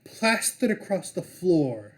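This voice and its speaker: Earnest man with a lively, higher voice. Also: takes it slowly. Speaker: player.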